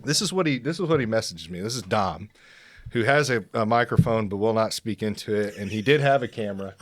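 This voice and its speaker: A man's voice: lowish voice, animated, a little fast. A man hosting a podcast in casual chat, loose and profane, laughing often. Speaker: podcast host.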